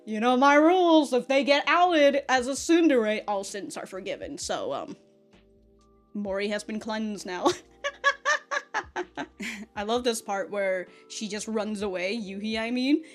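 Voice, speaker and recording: A woman's voice: mid-range voice, a little slow, pauses often, soft background music. A young adult woman giving a casual, rambling review as a reaction host, informal and giggly in her retellings. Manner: frequently swearing, informal fan slang. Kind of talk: casual rambling episode review with acted-out quotes.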